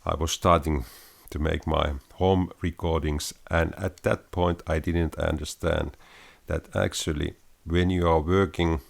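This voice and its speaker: A man speaks in a very deep, gravelly soft-bass voice, slowly and haltingly, pausing on many fillers. He sounds close and dry on a studio mic in a small home studio. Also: steady tone. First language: Finnish. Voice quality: very low baritone voice. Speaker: home-studio hobbyist, channel host. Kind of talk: casual gear demo vlog. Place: small home studio room under a sloped ceiling. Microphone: studio mic close up, headphones on.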